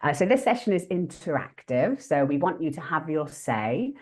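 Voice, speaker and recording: A woman hosts an interactive online teaching session. Her voice sits low but swings widely in pitch. She talks in a friendly, lively way, with plenty of fillers and self-corrections. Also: normal speed. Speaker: workshop host.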